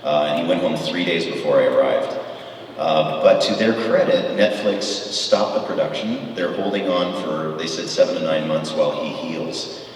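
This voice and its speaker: A man's voice: sits low, moderate pace, slight background noise. A middle-aged man telling enthusiastic, rambling stories as a guest on a convention panel, with lots of fillers. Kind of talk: convention Q&A storytelling. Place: large convention hall. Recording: echoey hall recorded from far off.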